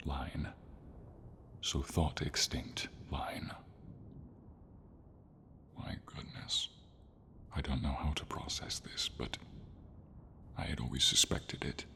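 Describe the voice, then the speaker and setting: Man voicing a very deep, whispery friendly dragon. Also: steady tone, slow pace, long pauses, sharp tone, full character voice. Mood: awed, grave. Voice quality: deep, breathy. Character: friendly, devoted old silver dragon, male, encouraging. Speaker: ASMR roleplay voice actor. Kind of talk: ASMR roleplay, dramatic fantasy.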